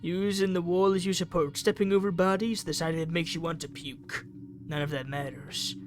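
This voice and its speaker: A man's voice: a little high, fairly even intonation, normal speed, faint music behind. A man reading a visual novel aloud for a gaming video in a dramatic, theatrical way. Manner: theatrical, acts out the dialogue.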